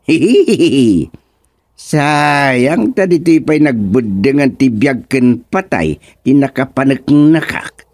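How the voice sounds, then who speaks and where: A man playing a vain, chuckling old father in an Ilocano radio comedy, with an exclaiming, drawn-out delivery. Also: fairly high pitch, a little mellow, no room sound, clean studio sound, exaggerated voice. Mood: rueful, amused.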